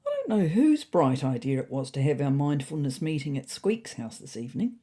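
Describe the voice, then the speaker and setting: A woman's voice: low voice, very animated, fairly quick, mellow, husky, exaggerated voice. A woman voicing a fussy, anxious male cat who narrates his comic diary in a prim, wordy, faintly indignant way.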